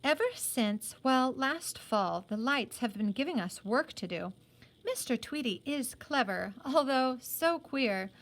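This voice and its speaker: A woman reading a storybook, voicing a dreamy, matter-of-fact teenage working girl who recounts things plainly, with pauses. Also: sits high, animated, fairly bright tone, full character voice. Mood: musing.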